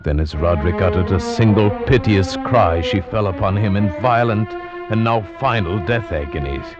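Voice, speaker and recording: An actor playing an anxious gentleman narrator in a formal, theatrical manner of 1940s radio horror. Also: sits low, natural voice. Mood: grave horror. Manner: formal, theatrical, deliberate storytelling. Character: anxious gentleman narrator, houseguest reading an old tale aloud. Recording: dull vintage radio recording with music.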